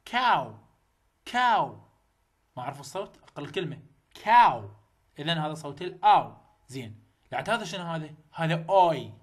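A young man with an Iraqi Arabic accent teaching English phonetics in a recorded lecture, repeating model words loudly and slowly. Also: on the high side.

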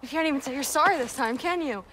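A woman's voice: sits high, fast talker, a bit bright. A young woman playing a fierce but loving warrior daughter in a video game drama, earnest and emotionally direct. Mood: hurt, exasperated.

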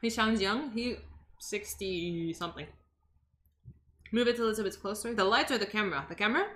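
A woman's voice: mid-range voice, moderate pace, a bit bright. A young woman from Los Angeles chatting casually with her stream while cooking in a home kitchen, answering chat as she chops. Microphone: desk microphone on the counter.

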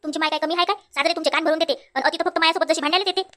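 Casting: woman as nagging cartoon village wife, rural Vidarbha Marathi, very high bright voice, fires off lines. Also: fairly even intonation, very fast. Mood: irritated, scolding. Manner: scolding, nagging. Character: sharp-tongued, bossy village wife in a comedy cartoon. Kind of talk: cartoon comedy voice acting.

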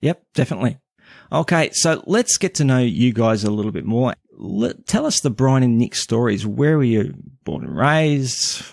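A man's voice: medium pitch, lively intonation, moderate pace, vocal fry, darkish tone, no room sound, clean studio sound. A man hosting a podcast interview in a casual, rambling way with many restarts. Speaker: podcast host.

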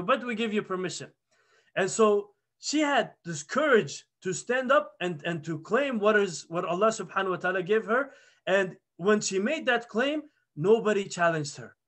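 High-voiced man telling a religious story. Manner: earnest, animated retelling with restarts. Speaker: halaqa teacher. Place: plain indoor room. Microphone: webcam video call.

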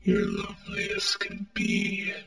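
A man playing a war-weary, mournful robot prince in a halting, melodramatic monologue. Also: high voice, little pitch movement, vocal fry, fairly bright tone, reverberant, heavily acted. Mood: sorrowful, pained. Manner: halting, melodramatic, broken phrasing. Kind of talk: dramatic amateur character monologue.

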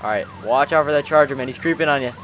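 A man's voice: mid-range voice, rapid-fire, a bit bright, slight background noise. A young man commentating on gameplay casually with friends, exclamatory and full of slang like bro and dude. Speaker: gaming video host.

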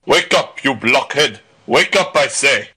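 Guy playing a blustery bully bossing everyone around, insulting, sharp forceful voice, audiobook drama. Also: mid-range voice, measured pace. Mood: roaring, impatient. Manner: imperious, insulting, barking orders. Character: blustering, swaggering bully of a nobleman's henchman.

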